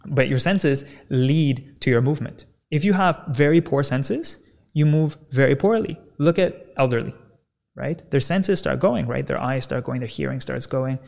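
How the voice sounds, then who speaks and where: A man speaking as a kinesiologist guest on a podcast, explaining in an energetic, teaching way and often checking in with "right?". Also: mid-range voice, moderate pace, vocal fry.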